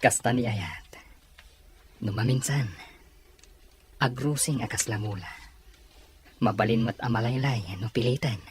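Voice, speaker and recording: A man's voice: mid-range voice, measured pace, pauses often, a little hiss or hum, close to an everyday voice. A man with a Filipino accent playing an earnest, patient suitor in a romantic audio drama. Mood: tender, earnest. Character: earnest, patient male suitor declaring love.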